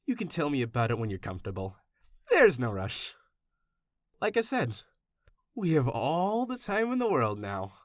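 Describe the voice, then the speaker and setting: A man's voice: medium pitch, huge pitch swings, normal speed, pauses often, no echo, studio quality. A man playing an obsessive, doting kidnapper in a roleplay audio, speaking to the listener with rambling, anxious affection. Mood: teasing, curious. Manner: soft-hearted, rambling, hesitant, gushing reassurance. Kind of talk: intimate roleplay audio acting addressed to the listener.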